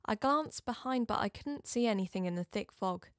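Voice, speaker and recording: A woman's voice: medium pitch, lively intonation, moderate pace, no echo, clean studio sound, lightly acted. A woman playing an uneasy investigator, narrating her story in scripted first person, confidingly. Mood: uneasy, reflective.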